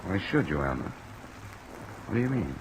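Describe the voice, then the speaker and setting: Hard-boiled insurance investigator, lowish voice, terse, weary, straight-talking, vintage radio drama. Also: male voice, very animated, normal speed, background noise. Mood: probing, concerned. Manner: terse, matter-of-fact, probing.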